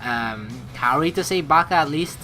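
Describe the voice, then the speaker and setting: Woman, casual let's play commentary, excitable, very animated, bright tone, informal. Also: deep voice, moderate pace, soft background music. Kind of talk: casual gameplay commentary, reading game text aloud.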